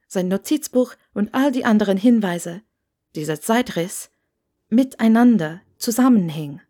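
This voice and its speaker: A woman narrates scripted fiction in the style of an audiobook. Her voice sits at an average pitch for a woman as she reads the story. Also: mellow.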